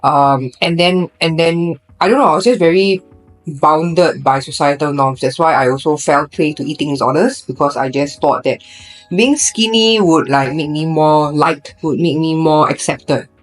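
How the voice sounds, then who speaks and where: A woman's voice: low voice, moderate pace, faint music behind, rough recording. A young woman from Singapore with a Singaporean English accent, chatting casually on a podcast and filling her talk with fillers and restarts. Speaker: podcast co-host.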